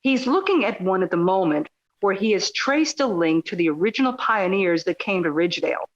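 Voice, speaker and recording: Woman as radio journalist, medium pitch, polite, inquisitive, articulate, audio play, slightly dull sound. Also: expressive, brisk, lightly acted. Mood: probing, courteous. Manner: courteous, articulate, probing. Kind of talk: audio drama acting.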